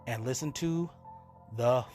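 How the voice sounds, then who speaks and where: A man hosting with an unhurried, informal introduction to horror radio plays. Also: medium pitch, music in the background. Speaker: show host.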